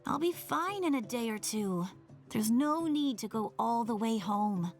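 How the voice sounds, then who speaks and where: A very high, unhurried young female game doctor, earnest and stubborn. She speaks in an anime game style, with faint music behind her. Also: animated. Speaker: game character voice actor.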